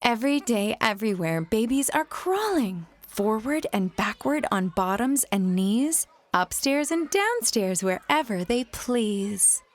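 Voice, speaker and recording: A woman's voice: on the high side, big pitch swings, measured pace, hardly any pauses. Woman reading a rhyming picture book. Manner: gentle, sing-song storytime delivery. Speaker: children's book reader.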